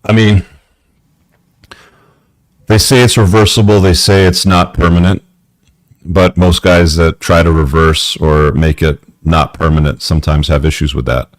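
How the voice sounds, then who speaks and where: Male call-in host, very low pitch, dry sound, blunt casual advice. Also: fairly even intonation, a little slow, long pauses, dry recording. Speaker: podcast host. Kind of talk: live call-in advice show. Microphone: headphones.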